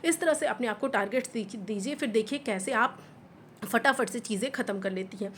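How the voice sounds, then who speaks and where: A young woman with a hoarse voice from a sore throat, giving earnest, encouraging study advice to the camera as a housewife vlogger, mixing English words into her Hindi. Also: on the high side, brisk, a bit bright, a little hiss or hum. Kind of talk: motivational talk to camera.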